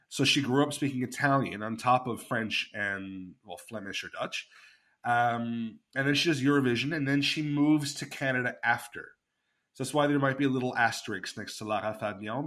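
A man's voice: medium pitch, sharp tone. A man hosting a casual podcast chat, telling a story with lots of fillers and enthusiasm. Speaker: podcast host.

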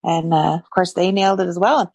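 A woman co-hosts a podcast, her lowish voice carrying a gravelly fry. She speaks in an upbeat, earnest, gushing way. The recording is dry and close. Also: fairly even intonation, a little fast, darkish tone, no room sound. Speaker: podcast co-host. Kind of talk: casual chat.

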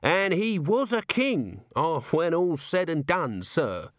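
A man's voice: a little high, sing-song, slow pace, dry recording, clean studio sound, voice pushed up. A man voicing a nervous, shifty little male corporal who stammers and hedges, peppering his talk with "sir". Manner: stammering, hesitant, full of "sir"s. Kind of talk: audiobook character voice.

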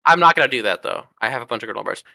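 A young man rattles off quick, self-mocking remarks. His tone is sharply bright and his pitch swings widely. The sound is dry and close. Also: vocal fry, dry recording. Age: young adult. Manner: quick, lively, self-deprecating. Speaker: podcast guest. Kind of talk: casual chat.

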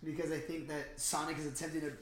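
Young male podcast co-host, slightly high voice, loose slangy rambling chat, roomy echo. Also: level tone, quick pace. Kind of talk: casual movie chat.

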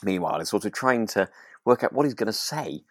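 A man with a slightly low voice, recorded dry, chats as a podcast co-host. He is agreeable and hesitant, feeling his way through his thoughts in a relaxed style. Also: fairly quick, no echo. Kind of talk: relaxed chat discussing a radio drama.

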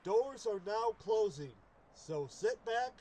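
A man's voice: high-pitched, lively intonation, slow, heavy background noise. A man playing a courteous train conductor giving a formal welcoming announcement in an audio drama. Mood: cheerful, official.

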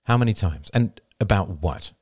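A man voicing a calm, stern, businesslike male character in an audiobook, speaking in a flat, terse, controlled way. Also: low-pitched, normal speed, slightly rough, no room sound, clean studio sound.

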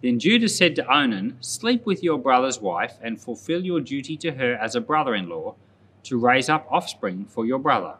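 A man speaking as a pastor, reading and explaining scripture aloud in a measured, plain-spoken way. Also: mid-range voice, sing-song, fairly bright tone, slight background noise. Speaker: pastor, Bible podcast host.

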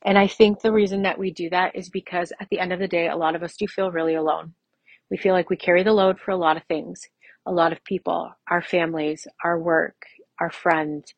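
A woman in her forties speaks in a fairly low voice, recorded dry with hardly any room around it. She hosts a podcast on her own, chatting personally to her listeners. She leans on key phrases, saying them again for emphasis. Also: level tone, fairly quick, gravelly, dry recording. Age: mid-40s. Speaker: podcast host. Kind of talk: solo podcast talk, conversational and personal.

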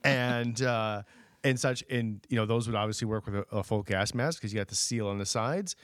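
Halting, dry-witted podcast co-host, man. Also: on the low side, a bit bright. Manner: hesitant, full of ums and uhs, dry wit. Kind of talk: casual podcast banter.

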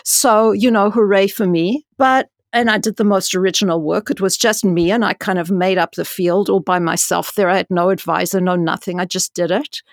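A woman who is a dean and scientist, telling her story frankly in an interview with a loud voice, peppered with "you know" and rising for emphasis. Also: mid-range voice, steady tone, normal speed, hardly any pauses, dry recording, clean studio sound.

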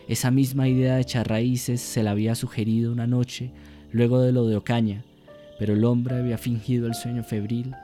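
A man narrates a literary radio drama. His voice is mellow and quite even, solemn and measured in delivery. Music plays softly underneath. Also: medium pitch.

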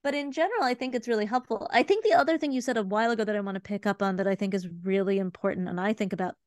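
A woman in her forties from Cleveland, talking as an interview guest in a quick, chatty way full of fillers and restarts. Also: medium pitch, darkish tone, no echo, studio quality. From Cleveland, Ohio, USA. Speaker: guest, art consultant. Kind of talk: casual conversational interview.

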